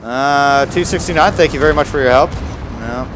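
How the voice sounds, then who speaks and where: A man's voice: mid-range voice, moderate pace, music in the background, rough recording. A young American man giving casual, sarcastic commentary while streaming a game, grumbling about his bad luck. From United States.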